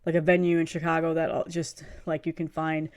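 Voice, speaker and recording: A woman's voice: sits low, completely flat delivery, fairly quick. A woman in her thirties with a cold, chatting casually and enthusiastically as she reviews music, rambling a bit and laughing often. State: has a cold, sniffly. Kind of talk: casual video review chat.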